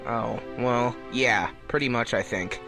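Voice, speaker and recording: A man's voice: medium pitch, a little slow, vocal fry, pitched below the performer's own voice. A man voicing a defensive young male hedgehog hero in a fan fiction audio drama, hesitant and guarded. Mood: uneasy. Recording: music behind the voice.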